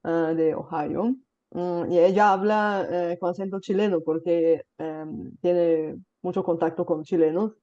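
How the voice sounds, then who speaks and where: A woman's voice: lowish voice, steady tone, a little slow, warm tone, muffled recording. A Bulgarian woman hosting a casual chat in Spanish with a slight Caribbean accent. She talks with many fillers and tag questions. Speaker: host. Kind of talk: casual conversation.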